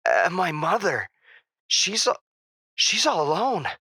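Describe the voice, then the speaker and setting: Radio-play victim, male actor: high, slow, halting delivery, frightened and full of regret. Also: huge pitch swings, sharp tone, slightly rough, no echo, studio quality, full character voice. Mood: shocked, fearful. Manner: halting, pleading. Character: terrified, remorseful dead man pleading with radio hosts. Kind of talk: audio drama acting.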